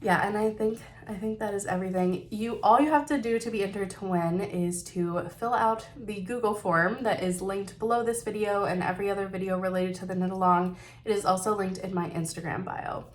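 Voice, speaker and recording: A woman's voice: medium pitch, steady tone, brisk. A woman hosting a knitting video podcast, chatting casually about her projects in a nasal voice because she is getting over a cold, upbeat with some fillers and slips.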